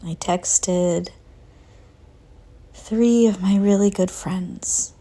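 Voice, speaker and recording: A woman narrating a guided visualization in a soft, breathy voice that often nears a whisper, calm and gentle with long pauses. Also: mid-range voice. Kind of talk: slow guided visualization, soothing scripted narration.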